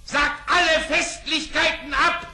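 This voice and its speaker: An actor playing a regal, imperious sultan, an anxious father quick to wrath, in a German fairy-tale radio play. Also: very high voice, steady tone, vocal fry, pushed and bright, exaggerated voice. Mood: furious, commanding.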